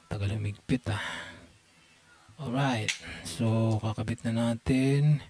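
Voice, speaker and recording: A man's voice: medium pitch, slow pace, dark tone. A man giving a casual hands-on repair tutorial, muttering to himself in short fragments as he works. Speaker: electronics repair technician demonstrating.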